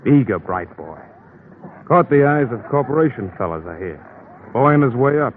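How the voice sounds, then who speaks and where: A man's voice: mid-range voice, animated, moderate pace, close to an everyday voice. A man playing a wry, streetwise police sidekick with clipped, slangy phrasing in 1950s radio noir style. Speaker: police officer. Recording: tinny, noisy old radio recording.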